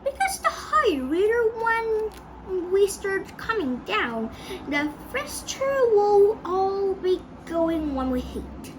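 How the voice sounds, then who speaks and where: A woman voicing an eccentric, excitable, bossy male inventor from a storybook in an emphatic, exclamatory way. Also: very high-pitched, a little slow, noisy background, voice pushed up, full character voice. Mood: emphatic, brisk. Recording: echoey room.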